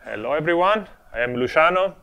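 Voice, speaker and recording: A man's voice: fairly high pitch, lively intonation, a little fast, bright, forceful tone, clear, resonant tone. A young man from Italy with an Italian accent, giving a friendly, explanatory conference talk on a technical topic with touches of humor. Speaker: conference speaker. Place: conference stage before an audience.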